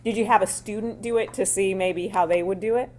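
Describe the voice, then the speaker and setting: A woman attorney questioning a witness in court, with a loud voice and a conversational, plainspoken style of quick follow-up questions. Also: mid-range voice, steady tone.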